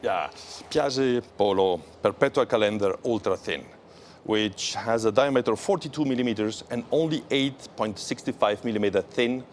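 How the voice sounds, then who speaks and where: A man with a low voice presents luxury watches on a morning show. He speaks carefully and admiringly, pausing between phrases. Faint background noise sits under his voice. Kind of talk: live show product walkthrough.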